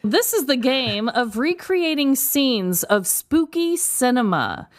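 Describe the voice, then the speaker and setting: A woman's voice: high voice, big pitch swings, slow. A woman co-hosting a podcast, chatting casually and enthusiastically as she runs a game. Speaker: podcast co-host. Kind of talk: casual game-show chat.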